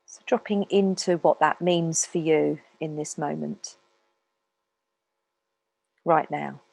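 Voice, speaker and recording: Slow, low-pitched woman guiding meditation. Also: creaky, no room sound. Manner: calm, unhurried, with long pauses. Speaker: meditation teacher. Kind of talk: guided meditation talk.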